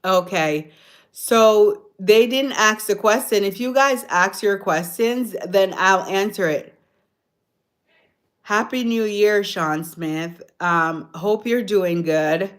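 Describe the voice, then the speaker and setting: A woman's voice: medium pitch, slow pace. A Jamaican woman hosting a casual livestream, chatting with viewers, answering their comments and laughing often. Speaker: livestream host. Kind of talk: casual live-stream chat with viewers.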